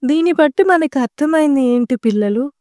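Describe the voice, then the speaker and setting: A woman reads a children's story as its narrator. Her voice sits a little high and has a warm, relaxed tone. She phrases things simply for young listeners, in a dry, close recording. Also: brisk, no room sound.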